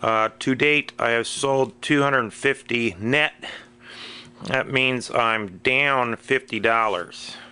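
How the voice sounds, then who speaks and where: A man's voice: medium pitch, takes it slowly, sharp tone, clean tone. A middle-aged man narrating a casual handheld vlog about storage auctions, dry and matter-of-fact, recorded outdoors on a camera mic. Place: outdoors at a swap meet and a storage unit.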